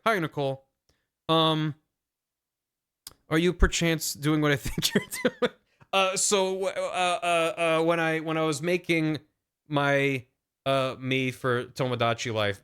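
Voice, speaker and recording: A man's voice: fairly high pitch, a little slow, fairly bright tone, clear, resonant tone. Joking young man streaming a game. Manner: joking, rambling. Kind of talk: game let's-play commentary. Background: game music.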